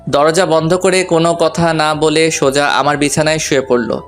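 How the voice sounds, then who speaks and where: A man's voice: a little high, flat delivery, moderate pace, a bit bright, soft background music. A man narrating a first-person romantic story in a playful, colloquial way.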